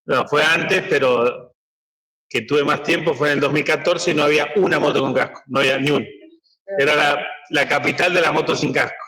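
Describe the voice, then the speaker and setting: A man's voice: a little high, steady tone, a little fast, pushed and bright, low-quality recording. A man making informal, anecdotal opening remarks as a guest speaker to an audience. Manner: chatty, anecdotal. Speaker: guest speaker at a book presentation. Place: indoor book presentation.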